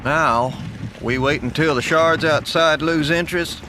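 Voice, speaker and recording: A man's voice: fairly high pitch, natural voice. Actor as laconic survivalist man, noisy surroundings. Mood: weary resolve. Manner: dry, folksy, unhurried. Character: gruff, laconic male survivalist metal worker, wounded. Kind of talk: audio drama acting. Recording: noisy outdoor vehicle ambience.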